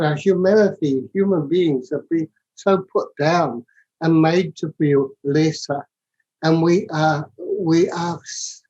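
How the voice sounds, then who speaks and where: A man talking as a podcast guest in a reflective, philosophical way, halting and often repeating his words. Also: a little high, warm tone, dull audio. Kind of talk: reflective, spiritual casual talk.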